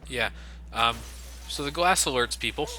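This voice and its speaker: A man's voice: medium pitch, moderate pace, rough recording. A man giving casual, sarcastic, sweary commentary as he plays a video game. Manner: sarcastic, profane. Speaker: let's play commentator. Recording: loud gunfire and game noise behind. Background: video game gunfire.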